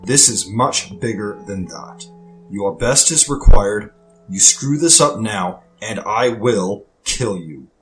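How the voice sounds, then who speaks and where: Low, menacing vengeful villain commander. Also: male voice, lively intonation, fairly bright tone, faint music behind. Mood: cold menace. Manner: slow, deliberate, threatening. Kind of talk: audio drama acting.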